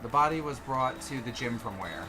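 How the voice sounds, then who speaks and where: A person in casual group gaming chat, excitable and given to swearing and joking. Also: mid-range voice, moderate pace, poor audio. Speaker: gaming co-host. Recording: loud game music behind.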